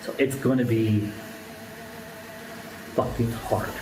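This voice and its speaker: A man's voice: medium pitch, steady tone, slow. A man speaks candidly and personally to his stream audience. The sound is echoey and roomy, with noticeable noise behind him. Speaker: YouTuber streamer. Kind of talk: candid personal monologue to viewers. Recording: loud-enough roomy echo with background noise.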